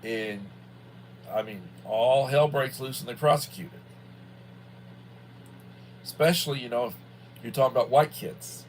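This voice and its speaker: A man in his sixties talks off the cuff in a casual livestream style at a middling pitch. His delivery is folksy and halting, often circling back to repeat a phrase. A light background hum runs under the voice. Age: early 60s. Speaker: livestream host, school worker. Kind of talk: casual rambling livestream chat.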